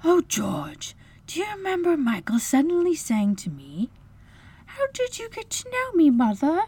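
A woman voicing a gentle, placid, loving wife and mother with a soft, breathy, soothing delivery. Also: very high-pitched, very animated, normal speed, voice pushed up. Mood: wistful.

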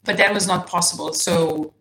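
A woman from Serbia whose native language is Serbian, a podcast guest speaking in a casual interview, soft-spoken and hesitant, restarting often and laughing lightly. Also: fairly low pitch, steady tone, moderate pace, low-quality recording. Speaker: guest, nutrition coach.

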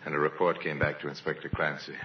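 A man narrating an old-time radio crime mystery as a grave storyteller, measured and dramatic, on a vintage recording. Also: a bass voice, flat delivery, highs cut off, slight background noise.

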